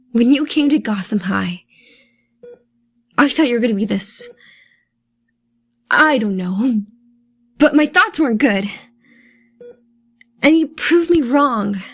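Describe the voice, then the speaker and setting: A woman playing a loyal, sharp-witted teenage girl in an audio drama, speaking in an earnest, pressing way. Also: a little high, lively intonation, takes it slowly, pauses often, full character voice. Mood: earnest, encouraging. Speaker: voice actor.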